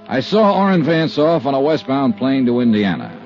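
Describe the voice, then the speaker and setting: A man's voice: medium pitch, very animated, moderate pace, a little mellow, soft background music. An actor playing a cool, wry insurance investigator who narrates his case in a clipped, dry 1950s radio detective style. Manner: clipped, dry, matter-of-fact hardboiled delivery. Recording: dull 1950s radio recording.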